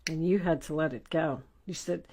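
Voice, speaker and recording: A woman with a slightly low voice gives an informal tarot reading. She speaks straight to the listener as host, conversational and emphatic. Her manner is reassuring. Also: moderate pace, mellow, slightly rough.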